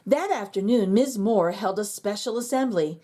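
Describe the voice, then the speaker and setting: A woman reading a children's picture book aloud in an expressive, sing-song storytelling manner. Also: mid-range voice, normal speed. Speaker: children's book reader, channel host.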